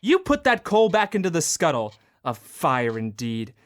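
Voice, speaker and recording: An actor playing a bitter, miserly old moneylender in a student radio drama, snarling and barking scornful orders. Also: male voice, on the high side, wildly swooping pitch, normal speed, fairly bright tone. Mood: scolding.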